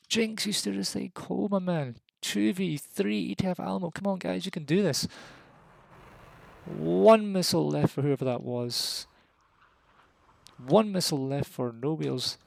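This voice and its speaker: A Scottish man giving live, casual, excitable commentary on a competitive flight game match, voice jumping up at the big moments. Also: fairly high pitch, vocal fry, dry recording. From Scotland. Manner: excitable, rises sharply at kills; casual and jokey.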